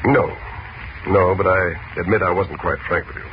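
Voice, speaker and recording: An actor playing a calm, assured male magician who reassures his family, in a 1940s radio drama. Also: deep voice, moderate pace, close to an everyday voice. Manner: calm, reassuring, gently amused. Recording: muffled old radio broadcast with loud hiss.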